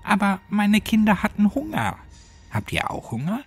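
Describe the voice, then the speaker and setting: A menacing demonic girl, acted by a male narrator in a horror story. The voice is lifted high and swings in pitch, sly and persuasive, with a cold taunt in it. Music plays underneath. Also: wildly swooping pitch, moderate pace, gravelly, husky, full character voice. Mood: feigned sorrow.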